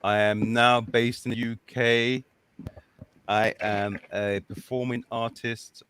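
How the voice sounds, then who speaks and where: Bright-voiced jokey male guest from Ghana. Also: medium pitch, flat delivery, slow pace, clean tone. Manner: jokey, easygoing. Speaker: guest, event co-organizer. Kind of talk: casual interview.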